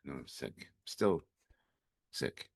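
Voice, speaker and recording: A man co-hosting a live review show, laid-back and halting, his voice raspy from a cold. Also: no echo, studio quality. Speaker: co-host. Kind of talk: live-stream review chat.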